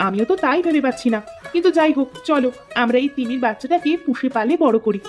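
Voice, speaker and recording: A tender, excitable farmer's wife from a village cartoon, voiced by a woman. She speaks high and fast in an expressive way, with faint music underneath. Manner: expressive, exclaiming. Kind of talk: cartoon voice acting.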